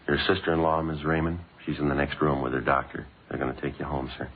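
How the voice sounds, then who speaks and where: An actor playing a stoic, courteous American police detective in a radio drama, clipped, understated and deadpan. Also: male voice, a bass voice, quick pace, narrow, tinny audio. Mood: gently matter-of-fact. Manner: clipped, matter-of-fact, deadpan. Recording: old band-limited radio broadcast, hissy.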